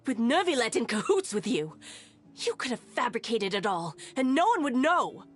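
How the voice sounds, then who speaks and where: Lively, scornful, suspicious townswoman. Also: fairly high pitch, moderate pace. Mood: accusing, scornful. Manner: accusatory, scornful. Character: hostile, suspicious, accusing townswoman. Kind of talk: video game character acting. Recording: music behind the voice. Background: game music.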